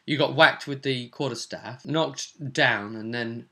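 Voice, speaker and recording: A man's voice: medium pitch, measured pace, bright, forceful tone. A man giving a casual, rambling recap of a tabletop role-playing session as the game master.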